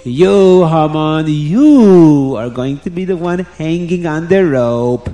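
A man's voice: a little high, normal speed, darkish tone, faint music behind, close to an everyday voice. A man voicing a hot-tempered, blustering king in a children's story, exclaiming and accusing with heavy emphasis. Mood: vengeful.

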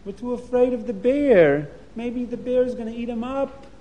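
A man doing a high, fretful mother. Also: moderate pace, phone-quality sound. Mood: worried. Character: worried mother of young children.

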